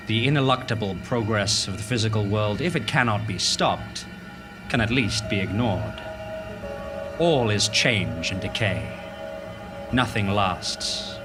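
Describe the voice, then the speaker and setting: An ominous, grandiose narrator in a lowish voice. He delivers scripted drama solemnly and deliberately, with music clearly playing behind him. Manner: portentous, deliberate.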